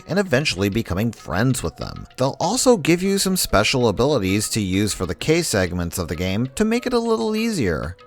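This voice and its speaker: A man narrating a scripted video essay in a conversational way with wry asides. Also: low-pitched. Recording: background music under voice. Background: music.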